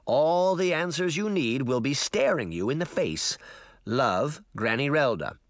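A man's voice: medium pitch, big pitch swings, a little slow, no echo, clean studio sound. A man voicing a kindly old grandmother's reassuring letter in a children's audiobook.

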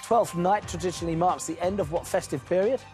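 A man hosting a television quiz show, brisk and teasing with jokes between questions. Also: high voice, darkish tone, faint music behind.

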